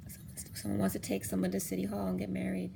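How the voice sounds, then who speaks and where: A woman reading tarot cards for viewers in a casual, rambling way, her voice going hoarse. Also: mid-range voice, monotone, noisy background. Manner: rambling, halting restarts. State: losing her voice. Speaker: tarot reader. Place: home room, table of cards. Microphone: camera on the cards, speaker off screen.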